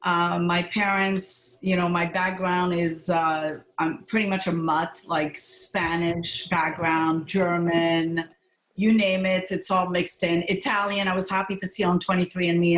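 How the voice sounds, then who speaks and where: A woman's voice: lowish voice, steady tone, a little slow, reverberant, rough recording. A middle-aged woman gastroenterologist who grew up in Montreal, talking candidly and with laughs in a podcast interview. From Montreal, Canada. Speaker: gastroenterologist guest.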